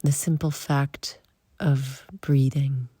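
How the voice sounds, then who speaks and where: A woman leading a guided meditation in soft, breathy, short phrases with long pauses, often trailing toward a whisper. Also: a bass voice, little pitch movement, no echo, studio quality.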